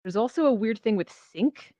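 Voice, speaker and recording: A woman's voice: on the high side, expressive, normal speed, dark tone, no room sound. A woman speaking informally as a panelist, telling stories animatedly in a casual discussion over online voice chat. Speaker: panelist, VR theatre performer and show host. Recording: dull lo-fi voice chat audio.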